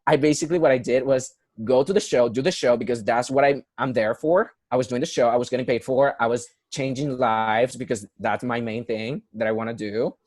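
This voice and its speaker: Young man with a Puerto Rican accent, mid-pitched voice, dry close sound, earnest and chatty with lots of restarts. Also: fairly even intonation, fairly quick, few pauses, darkish tone, no room sound. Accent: Puerto Rican Spanish. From Puerto Rico. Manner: earnest, many fillers and restarts. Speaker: guest, musical theater performer. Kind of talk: casual conversational interview.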